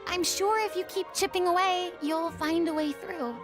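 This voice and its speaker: A woman's voice: extremely high pitch, steady tone, normal speed, over music. A woman playing a proud but sheepish young former star performer in a video game, with a theatrical, polite manner. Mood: earnest.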